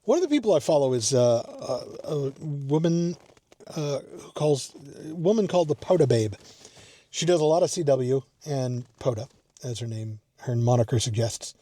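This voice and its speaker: Mellow, rambling man chatting in a car. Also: medium pitch, moderate pace. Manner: rambling, self-deprecating, thinking aloud. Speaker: amateur radio vlogger. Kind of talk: casual unscripted vlog talk. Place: inside a parked car.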